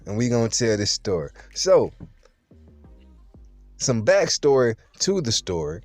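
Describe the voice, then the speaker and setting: A young man telling a true story straight to the camera in a casual, slangy, animated way. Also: medium pitch, a little slow, no room sound, soft background music.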